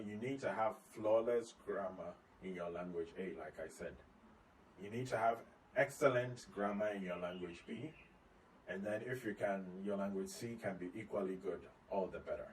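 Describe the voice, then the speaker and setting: A man, a company head, talking through tips on slides in a relaxed video lesson, repeating key points as he explains. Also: lowish voice. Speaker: company CEO giving tips. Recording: slightly distant mic, faint ambient noise.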